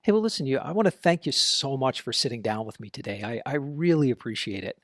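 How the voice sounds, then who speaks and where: A man's voice: medium pitch, expressive, fast, no echo, studio quality. A man hosting a podcast, interviewing a guest and then commenting on his own in a conversational, thinking-aloud way. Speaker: podcast host.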